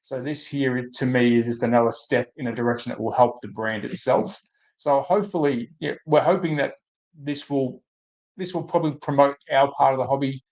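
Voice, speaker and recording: An Australian man with a slightly croaky, under-the-weather voice, hosting a casual livestream and thinking aloud as he chats to viewers. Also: medium pitch, fairly even intonation, brisk, a little mellow, poor audio. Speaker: host of a hobby livestream. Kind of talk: casual live chat to viewers.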